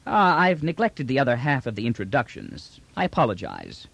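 Audiobook role: confident military commander of an empire, read by a man, formal, measured menace, expressive pitch. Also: full character voice. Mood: proud, courteous. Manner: formal, precise, smoothly authoritative. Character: commanding, confident male imperial general, coolly menacing. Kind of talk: audiobook character dialogue.

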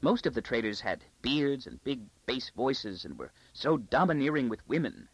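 A man voicing a plump, vague noblewoman who talks in a breathy, fluttery, gushing way. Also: mid-range voice, animated, normal speed, no echo, low-quality recording. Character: vague, plump, high-voiced noblewoman, middle-aged.

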